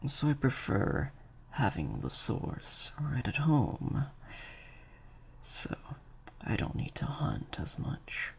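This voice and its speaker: A man playing a sadistic vampire master in an improvised audio roleplay, menacing and teasing, in breathy half-whispers to a captive listener. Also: medium pitch, wildly swooping pitch, slow, long pauses, slight background noise, heavily acted. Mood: smug, menacing.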